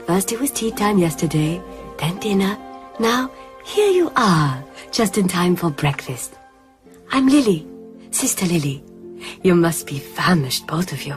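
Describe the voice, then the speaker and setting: An actress playing a gushing, over-polite nurse who acts as a genteel hostess in a radio drama. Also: female voice, fairly low pitch, sing-song, a little slow, soft background music. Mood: effusively welcoming.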